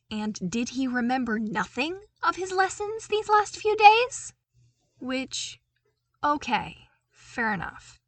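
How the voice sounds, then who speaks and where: A woman reading a story aloud as an audiobook narrator, in an expressive scripted style. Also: medium pitch, no echo.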